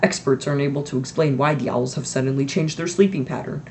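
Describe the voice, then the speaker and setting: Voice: mid-range voice, fairly even intonation, fast, darkish tone, slight background noise, close to an everyday voice. A reader voicing a cheerful male television newscaster in a mock broadcast. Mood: wry. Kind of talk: mock news broadcast reading.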